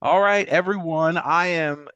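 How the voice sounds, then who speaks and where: A man's voice: on the high side, measured pace, pushed and bright, smooth, dry recording, dull audio. A man co-hosting a podcast in a casual, chatty style about sports. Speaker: podcast co-host.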